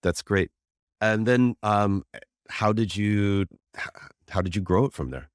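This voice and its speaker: A man's voice: on the low side, a little slow, clear, resonant tone, no room sound, clean studio sound. An American man hosting an interview, friendly and enthusiastic, rambling with lots of restarts and fillers. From United States. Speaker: podcast host, interviewer. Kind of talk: casual conversational interview.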